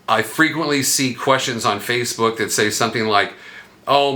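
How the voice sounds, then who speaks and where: Low-pitched man with a bright, forceful voice. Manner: conversational, asks himself questions aloud. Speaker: vlog host. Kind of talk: casual explanatory vlog talk.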